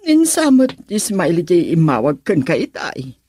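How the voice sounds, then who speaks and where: A woman playing a scheming, doting middle-aged mother in a melodramatic radio drama, with a husky voice. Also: fairly low pitch, wildly swooping pitch, a little slow, warm tone, no echo, clean studio sound, exaggerated voice. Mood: coaxing, probing.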